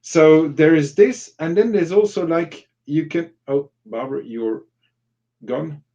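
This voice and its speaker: A man's voice: fairly high pitch, a little slow, mellow, dull audio. A man giving informal, explanatory advice as a workshop facilitator in a live online discussion, illustrating points with example questions.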